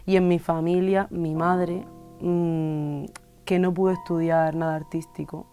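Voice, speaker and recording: A woman in her twenties from Spain, an illustrator and musician, talking thoughtfully in an interview with many pauses and fillers. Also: fairly low pitch, little pitch movement, warm tone, soft background music. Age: 20s. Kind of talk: reflective interview monologue.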